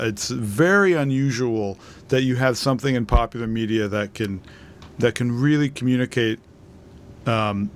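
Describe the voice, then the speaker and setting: Man, podcast co-host, casual discussion, thoughtful, halting phrasing, medium pitch.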